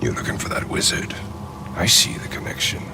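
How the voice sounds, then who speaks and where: An actor playing a bitter, sardonic otherworldly creature in a video game, with a breathy rasp and a halting, cryptic manner. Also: male voice, background noise, close to an everyday voice. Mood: brooding, grudging. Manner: slow, halting, cryptic, dry humour. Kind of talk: video game cutscene acting.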